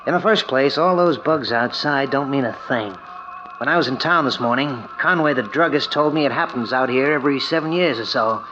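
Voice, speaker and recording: A man's voice: medium pitch, fairly quick, music in the background, close to an everyday voice. An actor playing a smug, scheming, murderous man with cocky, slangy tough-guy talk in an old-time radio thriller. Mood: smug, menacing. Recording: muffled, noisy old radio recording.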